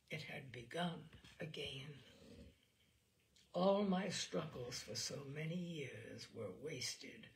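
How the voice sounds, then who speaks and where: Audiobook role: woman reader as tormented wife, intense and bitter, deliberate pace with gravelly edges. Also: low-pitched, slight background noise, full character voice. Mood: hopeless. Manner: dramatic, intense, hushed then rising to cries. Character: tormented younger wife confessing, bitter, seething, haunted.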